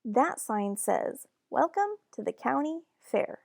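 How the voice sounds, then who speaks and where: A woman's voice: high voice, big pitch swings, slow pace, gravelly, no room sound, clean studio sound, voice pushed up, full character voice. A woman voicing a chatty, earnest young schoolgirl in a storybook, speaking emphatically as she explains. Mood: explaining.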